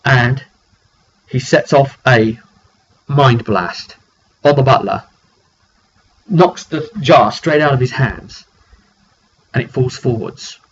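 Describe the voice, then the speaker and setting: Male game master, mid-pitched, casual off-the-cuff storyteller with halting delivery; muffled home-grade recording. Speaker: game master recounting a tabletop session. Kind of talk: casual, improvised storytelling of a fantasy roleplay game. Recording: dull lo-fi audio with faint noise.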